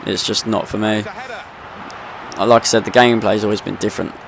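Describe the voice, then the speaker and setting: A man's voice: lowish voice, level tone, a little fast, vocal fry, crowd noise. A young man with a slight cold, ranting in casual gameplay commentary and swearing freely. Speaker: gaming video host.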